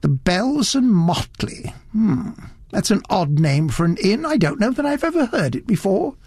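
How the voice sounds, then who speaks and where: A dainty, fussy old gentleman with a fluttering, eager manner, as a male reader puts him on. His voice perches high and bounces lively between notes. The sound is close and dry. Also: moderate pace, breathy edge, no echo, full character voice. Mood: puzzled. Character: small fussy elderly gentleman, polite and curious.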